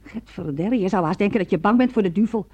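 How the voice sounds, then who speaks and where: Casting: actress as blunt, grasping barmaid fiancée for a radio play, slightly husky voice, nags without sentiment. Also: female voice, medium pitch, quick pace, darkish tone, lightly acted. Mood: scornful, goading. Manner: blunt, nagging. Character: money-minded, pushy tavern waitress, the sergeant's fiancée. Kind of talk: Dutch radio drama acting.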